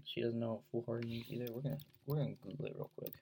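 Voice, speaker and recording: A man's voice: medium pitch, fairly even intonation, very fast, vocal fry, mellow, a little hiss or hum. A young man from with a head cold and sore throat, hosting his own podcast in a rambling, laid-back way full of fillers. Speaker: podcast host. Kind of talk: casual solo podcast chat.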